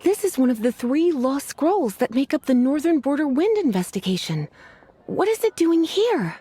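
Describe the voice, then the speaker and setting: A woman's voice: high voice, big pitch swings, moderate pace, mellow. A woman voicing a languid, teasing librarian mage in a video game, who drawls, sighs often and calls others cutie.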